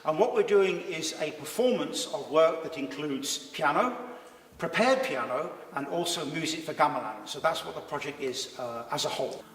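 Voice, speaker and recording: A middle-aged man from Scotland, a conservatoire lecturer and gamelan musician, speaking in a measured, articulate way in an interview. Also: on the high side, expressive, a bit bright. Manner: measured, articulate, with small restarts. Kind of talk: pre-concert interview. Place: large recital room. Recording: echoey room, distant mic, faint music.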